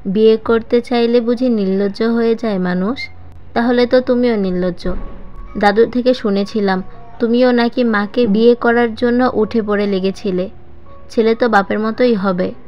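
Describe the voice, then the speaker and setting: A woman's voice: on the high side, moderate pace, natural voice. Woman as a cheeky, stubborn young man, muffled. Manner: cheeky, calm, demanding. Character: brazen, coolly stubborn grown son, young man. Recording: muffled lo-fi voice track with music.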